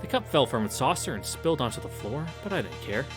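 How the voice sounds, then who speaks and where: A man's voice: medium pitch, big pitch swings, fast talker. A man casually reading a visual novel aloud, with hesitant, wry asides. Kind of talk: casual read-through with commentary. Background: game music, cicadas outside.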